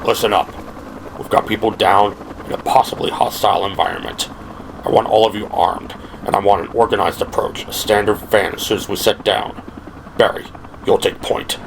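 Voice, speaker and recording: A man voicing a cool, controlled police captain in an audio drama, measured and authoritative, over background noise. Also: lowish voice, steady tone, vocal fry. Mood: stern, commanding.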